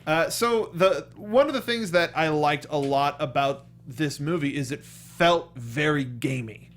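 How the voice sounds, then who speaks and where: A man with a slightly high voice co-hosts a movie review in loose, conversational style. He speaks haltingly, often doubling back to restart a thought. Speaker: co-host of a movie review show. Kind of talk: casual conversational film discussion.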